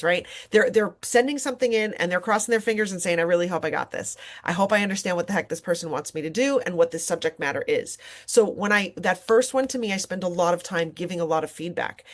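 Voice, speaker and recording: A woman presenting an informal software workshop as an online instructor, talking conversationally and encouragingly with examples from her own teaching. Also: mid-range voice. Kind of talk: informal software tutorial with screen demonstration.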